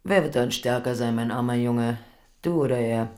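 A woman's voice: rumbling low, normal speed, mellow. An actress in a radio drama playing a middle-aged queen mother, domineering and scheming, with a commanding, condescending manner. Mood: cold, condescending.

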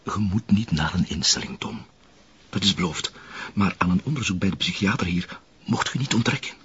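A man's voice: low-pitched, expressive, normal speed, a bit bright, husky, muffled recording, heavily acted. An actor playing a worried middle-aged Flemish father, earnest and insistent as he pleads in a TV crime drama. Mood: urgent pleading. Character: pleading, worried father of a troubled adult son.

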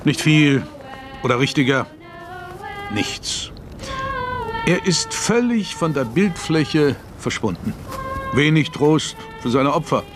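An actor playing a genial, worldly older London lawyer with an urbane, measured, wry manner in a radio play. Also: male voice, on the high side, lively intonation, music in the background. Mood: wry, avuncular. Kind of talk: German radio play dialogue.

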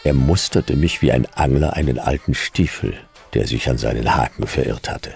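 A hard-boiled detective narrating a radio noir. His voice is extremely low and rough with vocal fry, dry and sardonic in delivery. Faint music runs behind him. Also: moderate pace, darkish tone, full character voice. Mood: dry narration. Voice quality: gravelly. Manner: laconic, sardonic. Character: world-weary, hard-boiled fifty-year-old male detective narrating his case. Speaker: lead character and narrator.

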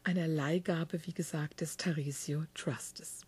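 Woman radio host, mellow husky voice, chatty reading with slips and self-corrections. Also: sits low, steady tone, takes it slowly. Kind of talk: reading a guest biography, then interviewing. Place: backstage dressing room.

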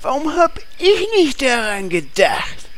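A woman's voice: fairly high pitch, very animated, takes it slowly, a little hiss or hum. A woman playing a dopey, self-satisfied, laid-back adventurer with a naive delivery in a comedy audio drama. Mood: casual, confident.

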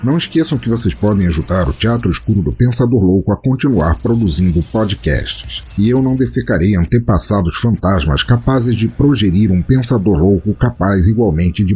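A Brazilian man delivers a scripted podcast intro in a grandiloquent, playfully surreal style. His voice is low and dark-toned, swinging widely in melody. Ambient noise is clearly audible behind him. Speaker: podcast host.

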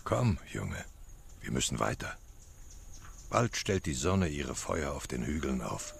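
Gravelly, low-voiced worldly older man. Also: big pitch swings, a little slow, slight background noise, exaggerated voice. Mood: low, urging. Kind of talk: radio drama acting.

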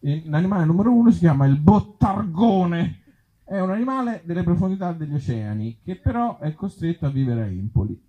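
A man's voice: on the high side, big pitch swings, brisk, breathy edge, dull audio. A man reading his own absurd short stories live, breaking off into conversational, self-deprecating asides to the audience. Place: live outdoor festival event before an audience.

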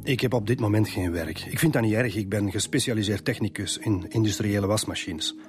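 A man's voice: on the low side, brisk, natural voice. A man with a Flemish accent playing a defensive divorced man in his fifties in a radio crime drama, hesitant and self-justifying. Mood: explaining, defensive. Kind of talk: naturalistic radio drama dialogue. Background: music.

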